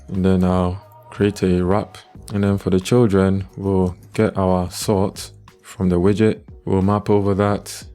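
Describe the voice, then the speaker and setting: A man teaches programming step by step in a screencast. His voice is low and dark, with very little rise and fall, and he sounds calm and methodical. Faint music plays behind him. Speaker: programming tutorial instructor. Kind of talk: step-by-step screencast coding tutorial.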